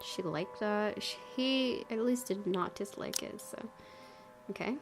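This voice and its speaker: A woman's voice: medium pitch, measured pace, slight background noise. A woman hosting a let's play, commenting casually in a chatty, giggly, rambling way. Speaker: gaming video host. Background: soft game music.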